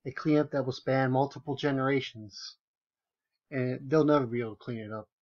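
A man delivers casual commentary over screenshots in an incredulous, sarcastic tone, punching his asides with emphasis. His voice sits in an ordinary middle pitch, and the recording sounds slightly dull and lo-fi. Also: steady tone, brisk, a little mellow. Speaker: video commentator.

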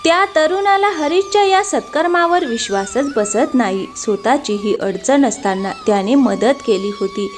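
Female storyteller, scripted narration, slightly high voice, music bed underneath. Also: expressive, normal speed, few pauses. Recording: music behind the voice.

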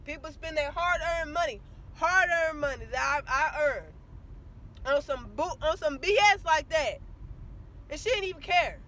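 A woman's voice: sits high, normal speed. A young woman, ranting indignantly to her phone camera from inside a parked car. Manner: indignant, animated complaining. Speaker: beauty vlogger. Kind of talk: casual vlog rant to camera. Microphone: phone camera at arm's length.

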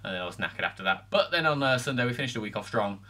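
A man's voice: mid-range voice, expressive, very fast, bright, forceful tone, a little hiss or hum. A man, chatting casually and matter-of-factly about his week in a training vlog. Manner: chatty, matter-of-fact, filler-heavy. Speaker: vlogger. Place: home room, voice-over.

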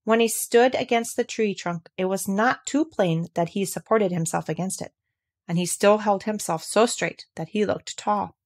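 Female audiobook narrator, medium pitch, scripted storybook reading. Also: normal speed. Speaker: audiobook reader.

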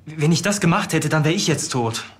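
An actor playing an insolent young murder suspect in a TV crime drama, casual and defensive. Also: male voice, on the high side, expressive, a little fast, breathy edge. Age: young adult.

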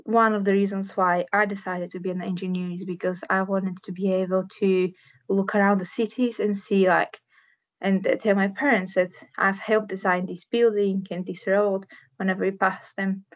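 Even-toned young Bulgarian woman, dull recording. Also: medium pitch, moderate pace. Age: 20s. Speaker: civil structural engineer, careers ambassador. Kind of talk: informal careers talk to camera. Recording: dull, muffled webcam audio.

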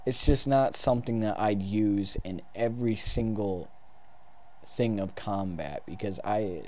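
A man's voice: on the low side, unhurried, darkish tone. A young man with a stuffed-up nose from a cold, casually rambling through gameplay commentary in a small recording room, restarting sentences and swearing now and then. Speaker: gaming video host.

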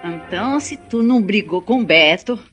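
A woman's voice: mid-range voice, expressive, moderate pace, music in the background, close to an everyday voice. An actress playing an outspoken, curious country woman in a Brazilian radio drama.

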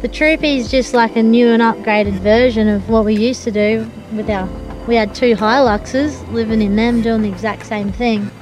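A woman's voice: on the high side, fairly even intonation, normal speed, music in the background, low-quality recording. Chatty young woman on a road trip. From Australia. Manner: chatty, rambling, with hey tags. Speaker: vlogger. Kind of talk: casual travel vlog chat. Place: inside a four-wheel-drive on the road. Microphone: action camera on the dashboard.

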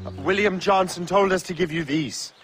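An actor playing a wry, plainspoken young sea captain in a game cutscene. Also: male voice, high voice, normal speed, lightly acted. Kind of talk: video game cutscene acting. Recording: score music underneath.

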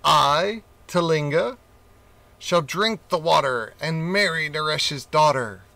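Tiny storybook monkey, man voicing: high, polite, eager. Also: slow, gravelly, bright, forceful tone, no room sound, exaggerated voice.

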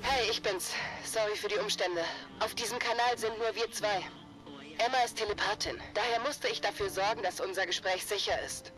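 A woman's voice: mid-range voice, fairly quick, natural voice, poor audio. A woman voicing a resolute but nervous young heroine in a German-dubbed video game, speaking urgently and earnestly. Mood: focused, secretive. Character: young heroine, resolute leader fighting her nerves. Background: game music.

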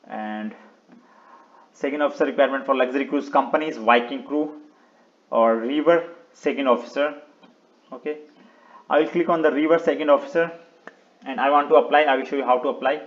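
A man presenting an unscripted step-by-step website walkthrough in short, halting instructional phrases, often repeating words. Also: mid-range voice, muffled recording. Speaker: video tutorial presenter.

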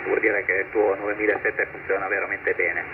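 A man's voice: low voice, little pitch movement, brisk. Noisy, phone-thin radio voice, man. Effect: SSB radio transmission. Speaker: portable amateur radio operator. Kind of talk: amateur radio contact, conversational. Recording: narrow-band, noisy shortwave-style radio speaker. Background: loud radio hiss and static.

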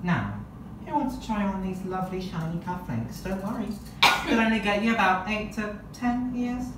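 A woman's voice: mid-range voice, animated, normal speed, close to an everyday voice. A young woman playing a smug, scheming police officer, gloating formally as she reads a murder mystery script. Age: young adult. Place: small room at a party. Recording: echoey room, distant camera, background noise.

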